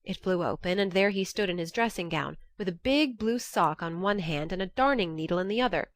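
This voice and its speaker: A woman reads a classic novel as an audiobook narrator. Her voice sits a little low, and the recording is very dry and close, suited to first-person letters. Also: lively intonation, fairly quick, no echo.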